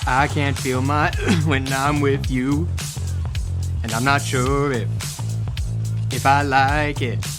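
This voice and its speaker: A man's voice: mid-range voice, steady tone, slow pace, pauses often, over music. A man playing a flirtatious, joking male elf bard in a fantasy audio drama. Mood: crooning.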